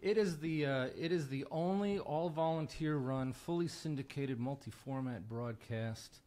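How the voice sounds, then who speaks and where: Unhurried, reflective American man. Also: mid-range voice, mellow. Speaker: guest singer-songwriter and radio show host. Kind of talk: conversational interview talk.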